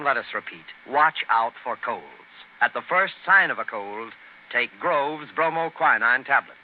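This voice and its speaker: A man with an American accent announcing in scripted 1930s radio style, hosting and reading sponsor messages. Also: medium pitch. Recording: tinny, noisy 1930s radio broadcast.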